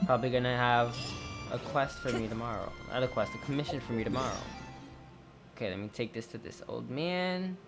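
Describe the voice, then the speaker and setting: A woman's voice: very deep voice, a little fast, over music. A woman giving casual commentary as she plays through a video game. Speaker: gaming video creator.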